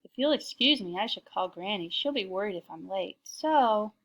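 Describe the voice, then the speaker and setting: A woman's voice: medium pitch, animated, normal speed, fairly bright tone, poor audio. A woman voicing a plucky, skeptical young girl mouse in a storybook, polite but wry. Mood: polite caution.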